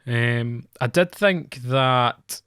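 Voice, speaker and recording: A man's voice: medium pitch, drawn-out words, fairly bright tone, clean tone, no room sound, studio quality. A man with a Scottish accent co-hosting a football podcast in casual conversation.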